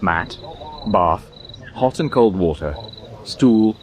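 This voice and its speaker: A man acting as a measured storyteller, delivering scripted narration in a documentary drama. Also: deep voice, expressive, slight background noise. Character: measured male storyteller describing a curious ritual.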